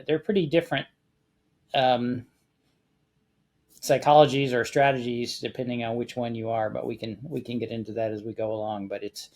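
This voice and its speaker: A man with an American accent talking casually and explaining a hobby step by step, with a measured, conversational manner. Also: medium pitch. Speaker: amateur radio contest guest expert.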